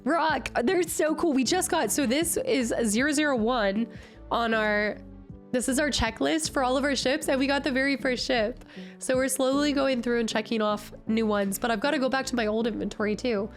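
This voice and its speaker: Woman streamer, kinda nasal and raspy from being sick, bubbly chatty voice, a bit high, music playing low. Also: normal speed. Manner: bubbly, excitable, greets chat often. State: recovering from a cold. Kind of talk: casual live-stream chat.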